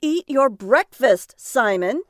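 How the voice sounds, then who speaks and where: A woman's voice: high-pitched, lively intonation, slow pace. An actress playing a stressed mother and trucker's wife in an audio drama, by turns snappish and chatty. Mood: exasperated, scolding. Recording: faint background noise.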